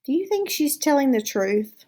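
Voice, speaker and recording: A woman's voice: sits high, sing-song, normal speed, a little mellow. A woman reading a storybook aloud to children, adding casual asides like a teacher.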